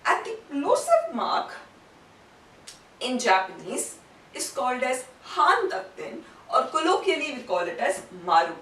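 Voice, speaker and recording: A woman teaching a language lesson step by step, speaking deliberately and repeating syllables and terms for learners. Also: high-pitched, wildly swooping pitch, pushed and bright. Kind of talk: instructional lesson, step-by-step explanation. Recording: roomy, distant mic, faint background noise.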